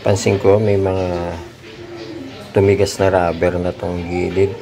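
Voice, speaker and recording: A Filipino man giving a casual hands-on tutorial, thinking aloud in a halting way as he works. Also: low voice, level tone, warm tone. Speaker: DIY repair vlogger.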